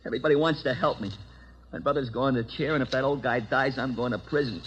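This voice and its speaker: A man's voice: mid-range voice, fast talker, a bit bright, muffled recording, lightly acted. An American man playing a desperate, defiant young tough in an old radio drama, slangy and emotional. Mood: bitter, pleading. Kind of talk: 1940s radio drama acting.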